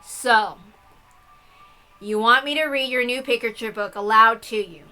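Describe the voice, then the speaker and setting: A woman voicing a defiant, sarcastic young woman from a visual novel, curt and loud in her delivery. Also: on the high side, measured pace, soft background music. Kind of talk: visual novel read-aloud.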